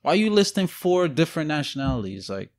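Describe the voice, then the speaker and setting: A young man co-hosting a podcast, talking bluntly in animated street slang. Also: a little high, fast talker, no room sound, studio quality. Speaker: podcast co-host. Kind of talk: casual chat.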